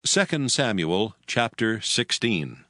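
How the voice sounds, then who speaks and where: A man's voice: on the low side, sing-song, slow pace. A man narrating scripture from a script. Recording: music in background.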